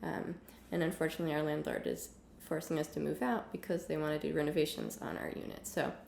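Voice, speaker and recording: Young woman music teacher, hoarse and gravelly, casual chatty delivery, echoey home room. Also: deep voice, fast talker, a little hiss or hum. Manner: relaxed chatty with many ums, coughs now and then. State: hoarse from illness. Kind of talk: casual online lesson. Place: home room with piano and guitars.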